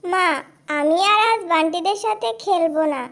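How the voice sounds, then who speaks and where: A woman's voice: extremely high pitch, moderate pace, a bit bright. A woman voicing an eager, tender-hearted little girl animal in a cartoon fairy tale, earnest and pleading. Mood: earnest, hopeful.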